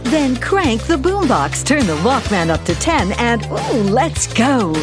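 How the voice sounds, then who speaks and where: A woman's voice: a little high, sing-song, measured pace. A woman reading a scripted podcast intro in an upbeat, showy announcer delivery. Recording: with loud music behind.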